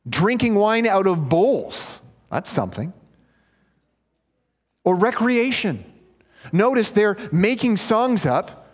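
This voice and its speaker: A male pastor preaching a sermon, reading scripture and then explaining it in an earnest, conversational way. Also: high voice. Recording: long hall reverb.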